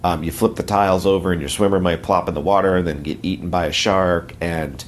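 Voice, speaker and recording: A hoarse middle-aged man from the United States, rambling in a friendly way as a podcast regular and sharing tips. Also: deep voice, steady tone, fairly quick, slight background noise. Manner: rambling, friendly, self-correcting. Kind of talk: casual podcast segment.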